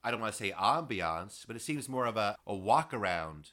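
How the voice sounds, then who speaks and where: A male interviewer who talks in a relaxed conversational way. His voice is bright and swings widely in pitch as he asks probing questions, often softening them with hedging phrases. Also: normal speed.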